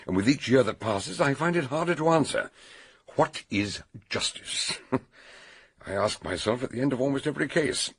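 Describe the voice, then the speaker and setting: An actor playing an older, learned detective in a radio drama, gravelly and husky, musing aloud and emphatic. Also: male voice, mid-range voice, expressive, normal speed. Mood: musing, troubled. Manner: hesitant starts, repeated exclamations, ruminative.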